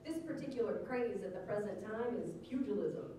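A woman's voice: mid-range voice, expressive, moderate pace, mellow. A woman giving an arch, declamatory reading of old newspaper articles aloud on stage. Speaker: reader at a live literary reading. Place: museum lecture hall with live audience. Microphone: stand microphone on stage, distant camera recording. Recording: echoey, noisy hall recorded from far off.

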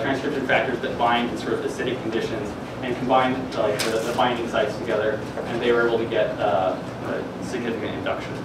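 Echoey, distant young man presenting, halting delivery. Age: young adult. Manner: hesitant, halting delivery with frequent filler words. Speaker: presenter at a student science talk. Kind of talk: informal research news presentation. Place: classroom or seminar room, indoors. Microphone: distant camera microphone. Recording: echoey room, distant mic, air-conditioning rumble.

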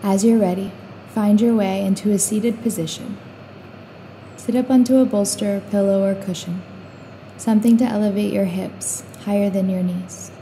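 A woman guiding a meditation in a calm, soothing, unhurried way, leaving long pauses between short instructions. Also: mid-range voice, slight background noise. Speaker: meditation guide.

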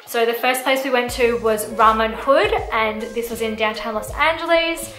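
A woman's voice: a little high, moderate pace, a bit bright, reverberant, soft background music, poor audio. A young woman co-hosting a casual vlog, chatting with frequent "like" fillers. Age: young adult. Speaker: vlog co-host. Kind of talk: casual chat. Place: bright indoor room, seated.

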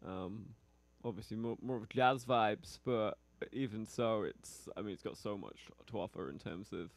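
A male radio host with a foreign accent, interviewing a musician in a relaxed, hesitant way. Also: fairly low pitch, normal speed, dry recording. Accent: foreign, non-local. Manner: relaxed, hesitant, many fillers. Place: small radio studio. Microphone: studio mic on a stand.

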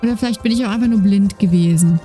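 Give me a woman gaming on a desk mic, warm and chatty, with a slight lisp. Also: medium pitch, quick pace. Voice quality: slight lisp, soft trailing S sounds. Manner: chatty, rambling, many fillers. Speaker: gaming YouTuber. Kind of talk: casual let's-play commentary. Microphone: desk microphone with noise gate. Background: game music and horse hoofbeats.

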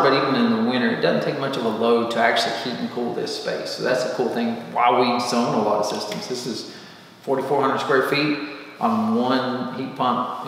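A man's voice: medium pitch, gravelly, a bit bright. Guy in his 40s explaining how the house is built, lots of ums and restarts, big echo off bare walls. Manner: gestures a lot, explains with fillers and restarts. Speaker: home builder. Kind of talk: casual walkthrough explanation. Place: large empty basement room, indoors. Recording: echoey empty room.

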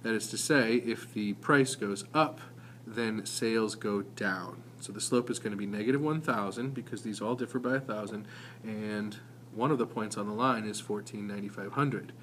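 A man's voice: on the low side, moderate pace, a bit bright, slight background noise. A man giving an informal, explanatory math lesson as a teacher, talking conversationally with some hesitant self-corrections.

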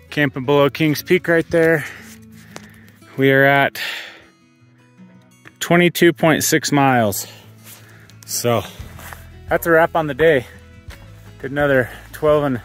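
A man in his thirties, a hiker, narrating a casual vlog outdoors. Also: mid-range voice. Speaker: hiker and vlogger. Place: outdoors in open mountains.

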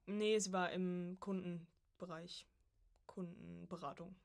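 A woman's voice: on the low side, fairly even intonation, takes it slowly, gravelly. A woman in her early twenties chatting informally as a podcast guest, telling her story in a casual way. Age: early 20s. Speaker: podcast guest, voice acting student. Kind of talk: casual conversational interview.

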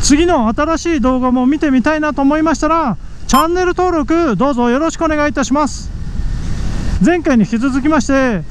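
Very high-voiced man, motorcycle vlogger. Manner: exclamatory, easily amazed, talking to himself. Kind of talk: casual riding commentary. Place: outdoors on a moving motorcycle. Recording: faint road and engine noise. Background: vehicle and outdoor road noise.

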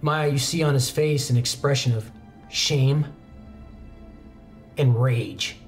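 A young man narrating a tabletop roleplaying game as game master, telling the story in a measured way with pauses. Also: mid-range voice. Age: young adult. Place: indoor studio set, seated at a desk. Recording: background music under the voice.

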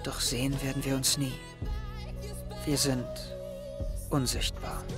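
A man's voice: mid-range voice, little pitch movement, slow pace, over music, close to an everyday voice. A young man playing an anxious, withdrawn, self-doubting teenage schoolboy in an audio drama. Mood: hushed, melancholy. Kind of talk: audio drama dialogue and inner monologue.

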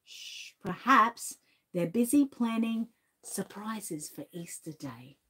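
A woman in her forties with an Australian accent, reading a storybook aloud to a toddler in a gentle, coaxing way, seated indoors close to the camera. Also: mid-range voice, animated, slow, slightly rough. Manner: gentle, sing-song, coaxing. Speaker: channel host and grandmother. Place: indoor room with shelves, seated. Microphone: fixed camera at close range.

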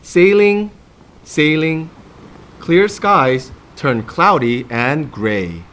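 A man in his thirties reads and talks to young children as a storytime host. His voice sits a little high for a man and moves up and down expressively. He takes his time, delivering phrases slowly for small listeners. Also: a little hiss or hum. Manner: animated, expressive, addressing children directly.

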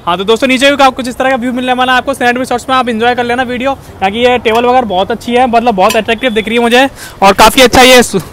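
A young man chats to the camera in a high-pitched voice. He addresses viewers as friends and often circles back over his points. He is outdoors on a rooftop, with some traffic noise around him. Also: steady tone, very fast, a bit bright. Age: 20s. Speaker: vlogger. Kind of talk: casual vlog presenting to camera. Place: open rooftop cafe above a busy street. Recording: clearly noisy outdoor recording, street traffic.

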